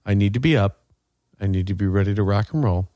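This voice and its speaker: Male podcast co-host, lower register, dry close sound, casual joking banter. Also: quick pace, mellow, dry recording. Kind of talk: casual chat on a podcast stream.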